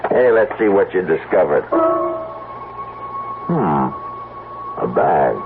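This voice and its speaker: Folksy, expressive farmer, tinny radio. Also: male voice, sits low, takes it slowly, very noisy, exaggerated voice. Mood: puzzled. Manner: folksy, expressive, stammering when worried. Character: plain-spoken farmer, anxious husband, fond of his dog. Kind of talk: 1970s radio drama acting. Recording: tinny vintage radio recording with loud music.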